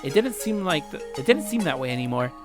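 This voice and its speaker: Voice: medium pitch, very animated, fast, music in the background. A let's player reading a visual novel aloud in a dramatic way, acting out the dialogue and adding asides. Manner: dramatic, acts out dialogue lines.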